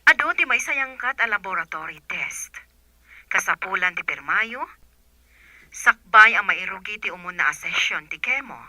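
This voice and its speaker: A woman's voice: mid-range voice, expressive, normal speed, bright, forceful tone, no echo. A woman acting as a doctor making a formal, insistent phone call in an Ilocano radio drama. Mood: businesslike. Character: businesslike female doctor phoning a patient's relative.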